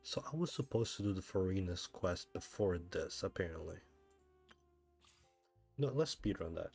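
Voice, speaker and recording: Deep male voice, casual gaming streamer, rambling, self-questioning, music behind. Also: lively intonation, normal speed, creaky, a little mellow. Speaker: livestreamer, gamer. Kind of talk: casual livestream chat while playing a game.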